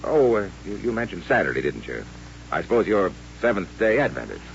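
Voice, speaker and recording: A man's voice: on the low side, sing-song, a little fast, gravelly. A man acting in an old-time radio drama as a plain-spoken, affable frontier doctor, frank and conversational, hesitating over delicate words. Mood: matter-of-fact. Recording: muffled old radio recording with hum.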